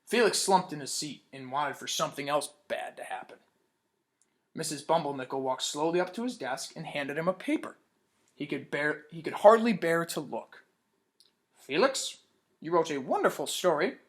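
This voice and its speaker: A man's voice: a little high, a bit bright. A man teaching as he reads a picture book aloud to children, stopping to ask questions and praise their answers. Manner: stops to quiz listeners and praise their answers. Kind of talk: read-aloud storytime for children.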